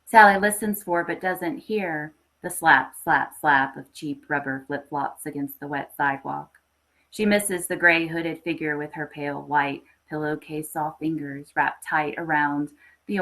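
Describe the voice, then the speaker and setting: A woman's voice: low voice, fairly even intonation, a little slow, fairly bright tone, poor audio. A woman who hosts a story podcast, reading aloud and speaking with enthusiasm. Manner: enthusiastic, chatty. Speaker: podcast host and story reader. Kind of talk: dramatic story reading, then casual live hosting.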